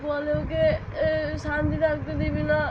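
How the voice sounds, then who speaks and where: A woman answering in quiet, hesitant short replies, recorded from a distance outdoors. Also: high-pitched, monotone, moderate pace, dull audio, a crowd in the background. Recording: distant from mic, noisy outdoors with traffic.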